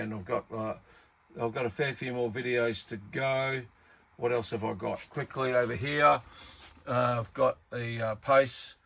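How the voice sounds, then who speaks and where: A man's voice: medium pitch, creaky, fairly bright tone. A middle-aged man chatting casually about old radios in a rambling, enthusiastic way, with lots of fillers. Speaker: radio hobbyist vlogger. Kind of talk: casual show-and-tell vlog.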